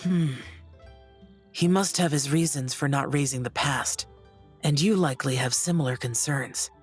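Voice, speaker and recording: Low, stoic young warrior. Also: female voice, a little slow. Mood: guarded. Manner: terse, guarded, subdued. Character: stoic, reserved, brooding young immortal warrior. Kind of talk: video game voice acting. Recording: background music.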